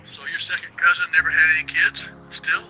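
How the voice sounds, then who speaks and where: A high-strung, melancholy late-night radio host in a game's radio drama. His voice is high and very bright, with halting, easily flustered delivery. Music plays behind him. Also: little pitch movement, rough recording. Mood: sympathetic, heavy. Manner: halting confessions, exasperated outbursts. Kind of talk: radio drama acting in a game.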